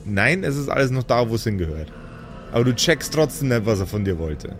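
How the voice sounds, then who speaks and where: A man's voice: mid-range voice, big pitch swings, fairly quick, soft background music. A man acting as game master, improvising narration for a tabletop role-playing game.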